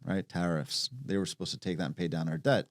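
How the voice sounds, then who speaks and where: A man's voice: low-pitched, fairly even intonation, fast talker, no room sound, clean studio sound. A man co-hosting a real estate podcast, explaining and asking follow-up questions in casual talk. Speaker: podcast co-host. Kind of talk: casual panel discussion.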